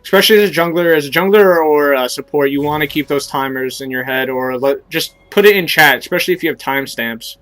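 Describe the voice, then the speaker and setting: A young man narrates his game in a relaxed, teaching way, stopping to explain his choices. His voice sits slightly high for a man and sounds thick and stuffy, with a scratchy throat from a cold. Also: quick pace, few pauses. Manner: explanatory, coaching tone with many fillers. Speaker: gameplay commentator. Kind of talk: casual game commentary.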